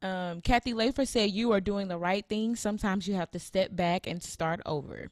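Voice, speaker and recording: A woman's voice: mid-range voice, moderate pace, dry recording, studio quality. A woman co-hosting a casual chat, backing her partner with short, supportive affirmations.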